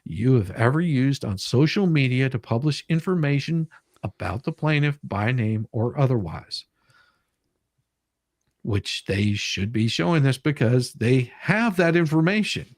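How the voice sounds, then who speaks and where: A man's voice: mid-range voice, expressive, a little slow, dry recording, studio quality. A man hosting a casual live stream, explaining legal paperwork in an emphatic, sweary way. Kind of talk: casual commentary on a legal document.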